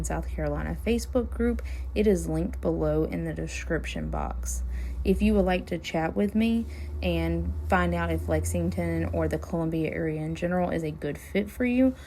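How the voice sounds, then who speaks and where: A woman realtor, chatting casually while driving, her voice hoarse and scratchy as she loses it. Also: lowish voice, mellow. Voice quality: gravelly, hoarse. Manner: friendly, chatty, upbeat sales talk. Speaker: realtor hosting a neighborhood tour channel. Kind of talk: casual driving-tour vlog talk. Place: inside a car, driving.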